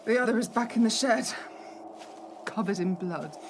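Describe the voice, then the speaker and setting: An actress playing a shaken, suspicious young hotel assistant manager, asking hesitant, probing questions in a radio play. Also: female voice, on the high side, normal speed, lightly acted. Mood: subdued, hesitant. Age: young adult. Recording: noisy recording with background ambience.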